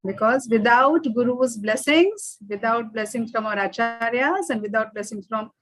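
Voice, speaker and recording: A woman's voice: fairly high pitch, moderate pace, dry recording, soft background music, poor audio. A woman leading an online devotional class over a video call, giving simple instructions and chanting prayers as teacher and host.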